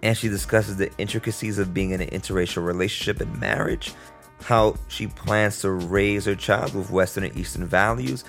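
A man's voice: on the low side, fairly even intonation, normal speed, creaky, fairly bright tone, faint music behind. A man speaking as a podcast host in a casual, conversational interview style. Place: remote long-distance call from Costa Rica.

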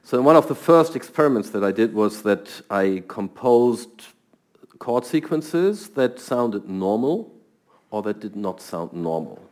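A man's voice: fairly low pitch, big pitch swings, measured pace, darkish tone. A middle-aged man from Germany with a German accent, a scientist answering interview questions thoughtfully and often repeating words as he starts. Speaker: guest scientist.

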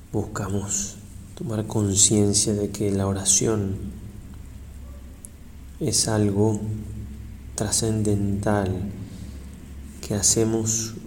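Deep, slow male priest in reverberant space. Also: darkish tone. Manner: measured, reverent, with long pauses. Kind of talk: guided prayer meditation, slow and solemn. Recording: long hall reverb, faint background noise.

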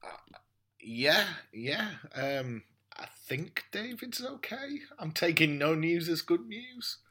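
A man's voice: fairly high pitch, sing-song, slow pace, bright, forceful tone, slightly rough. A man chatting casually as a guest on a podcast. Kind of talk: casual chat.

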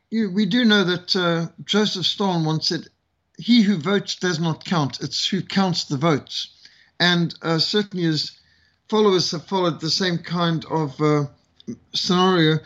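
A man from Zimbabwe talks through recent events as a guest commentator. His voice sits fairly high, and he lands his points with dry sarcasm between hesitant fillers. The sound is dull and lo-fi. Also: fairly bright tone. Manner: sardonic, with frequent fillers. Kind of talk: political commentary in conversation.

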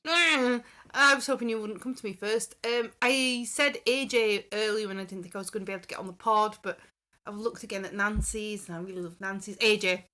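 Female panellist, mid-pitched and bright, chatting informally on a podcast. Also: brisk. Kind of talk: casual chat.

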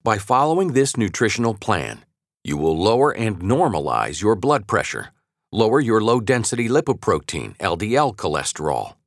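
A man with a low voice narrates nonfiction in an assured, articulate manner. His intonation moves with plenty of variety while the delivery stays measured. The recording is very dry, with a close studio sound. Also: very animated, no echo. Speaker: audiobook narrator. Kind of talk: scripted nonfiction audiobook narration.

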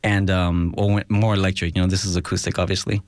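A young man from Los Angeles, a guest musician talking casually in a radio studio interview, halting and full of fillers, slipping in Spanish words. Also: deep voice, level tone, no echo. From Los Angeles, USA.

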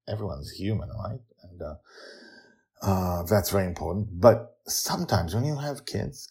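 A man with a lowish voice hosts a storytelling podcast. His delivery is dramatic and emphatic, with very wide swings in pitch. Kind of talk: expressive storytelling and podcast narration.

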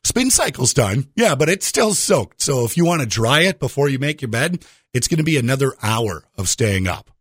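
A man's voice: mid-range voice, big pitch swings, moderate pace, a bit bright, breathy edge, dry recording, clean studio sound. A man hosting a radio show with a jokey conversational monologue, putting rhetorical questions straight to the listener.

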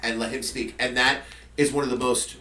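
A man's voice: mid-range voice, fairly even intonation, brisk, bright, forceful tone, roomy sound, far from the mic. A man who works as a podcaster and journalist, talking casually about past interviews in a rambling way with many fillers and restarts.

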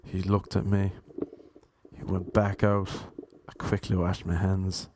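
A young man with a Cork Irish accent, telling stories in a deadpan, self-mocking, rambling way. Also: sits low, a little slow, background noise. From Ireland. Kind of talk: casual storytelling monologue.

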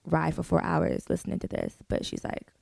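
A woman's voice: deep voice, wildly swooping pitch, moderate pace, creaky, a little mellow, no room sound, clean studio sound. A young woman, a singer, chatting casually as a guest, laid-back and full of "like" fillers and swearing. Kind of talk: casual interview chat.

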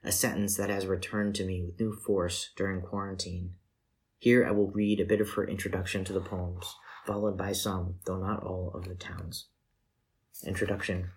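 Low, husky-voiced man reading literature. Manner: measured, deliberate phrasing with pauses. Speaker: poet reading selected poems.

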